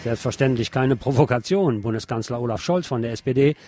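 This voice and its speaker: A man's voice: medium pitch, fairly quick, over loud music. A man presenting radio news, reading reports in a scripted style and slipping in sardonic asides. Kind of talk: reading news bulletins with wry commentary.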